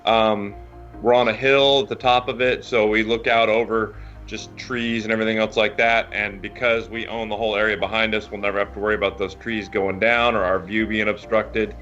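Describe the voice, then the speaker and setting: A man's voice: on the low side, level tone, brisk, fairly bright tone. A man chatting casually on a group call with wry, easygoing phrasing. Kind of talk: casual chat. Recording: dull lo-fi audio, faint music. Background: faint music.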